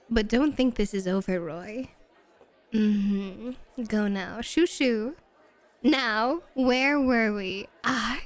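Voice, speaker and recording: Woman acting a slow, sly, flirty club hostess. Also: high voice. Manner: mock-scandalized, coy, drawn-out phrasing. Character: sly, playful, teasing female club hostess. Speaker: guest voice actor. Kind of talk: flirty ASMR roleplay acting.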